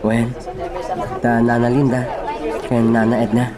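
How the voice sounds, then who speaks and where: A woman's voice: rumbling low, flat delivery, a little slow. An actress playing a grateful, reserved young woman in an Ilocano radio drama, with an Ilocano accent. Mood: grateful, cheerful. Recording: loud crowd in roomy venue behind.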